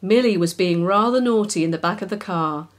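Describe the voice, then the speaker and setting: A woman's voice: medium pitch, brisk. A woman narrating a children's story expressively as its author.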